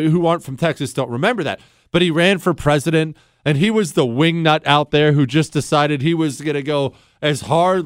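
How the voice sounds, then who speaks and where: Talk radio host: a man from Ohio with a higher, dry studio voice, quick to mock and joke. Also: dry recording. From Ohio, USA. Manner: sarcastic, joking, mocking.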